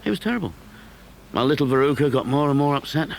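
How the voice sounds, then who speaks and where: A man's voice: medium pitch, lively intonation, fast talker, creaky. An actor playing a boastful, doting, rich factory-owning father in an audio drama, with blustering delivery. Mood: boastful, exasperated. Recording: noisy crowd ambience behind.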